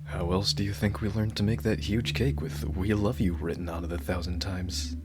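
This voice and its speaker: A man's voice: sits low, fairly even intonation, normal speed, noisy background, natural voice. A man playing a devoted, dorky yandere bodyguard in an ASMR roleplay, earnest and rambling, awkwardly correcting his own slips. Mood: sheepish, eager. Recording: loud music behind the voice. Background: music.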